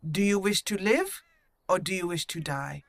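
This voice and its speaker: A woman hosting a roleplay let's play, voicing dialogue deliberately and theatrically and adding her own commentary. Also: fairly low pitch, lively intonation, dry recording. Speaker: gaming channel host.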